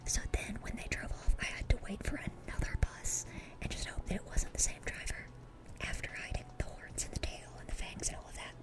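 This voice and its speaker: A woman's voice: a little fast, lightly acted. A woman playing a dorky, sweet-natured young demon girl in an ASMR roleplay, whispering in a rambling, chatty, earnest way. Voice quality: breathy, unvoiced whisper.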